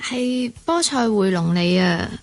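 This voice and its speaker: A woman's voice: mid-range voice, lively intonation, a little slow. An actress playing a modest, reserved young office woman in a Cantonese radio drama. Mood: earnest, soft. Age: young adult.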